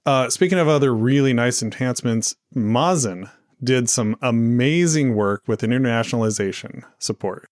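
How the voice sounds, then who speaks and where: A man's voice: mid-range voice, moderate pace, no echo, studio quality. A man hosting a casual tech podcast, chatty and playfully argumentative, often restarting his sentences. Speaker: podcast host.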